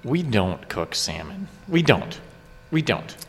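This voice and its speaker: A man's voice: medium pitch, sing-song, takes it slowly, vocal fry, fairly bright tone, slightly rough. A man bantering casually as a co-host, with joking interjections. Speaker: podcast co-host. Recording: long echoing reverb.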